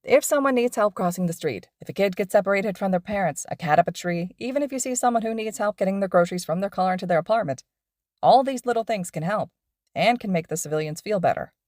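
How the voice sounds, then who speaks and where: A woman voicing a loud, cheerful male hero teacher with an exuberant, projecting manner. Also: mid-range voice, animated, quick pace, no echo, clean studio sound, natural voice. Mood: upbeat.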